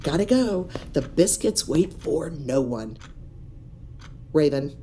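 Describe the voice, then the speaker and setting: An actress playing a breezy, evasive spaceship crew member with offhand teasing, in a comic audio drama. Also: female voice, low voice, animated, slow pace, dark tone, a little hiss or hum. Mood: breezy, impatient. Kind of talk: comic science fiction audio drama acting.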